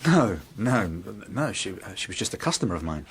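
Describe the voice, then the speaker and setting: An actor playing a kindly, earnest butcher in a radio drama, speaking a little hesitantly. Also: male voice, fairly low pitch, very animated, brisk, slightly rough, no room sound, exaggerated voice. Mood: nervous, evasive.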